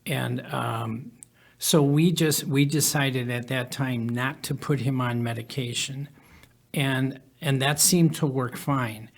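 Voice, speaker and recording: Measured man telling a personal story. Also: medium pitch, fairly even intonation, gravelly. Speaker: podcast guest, author.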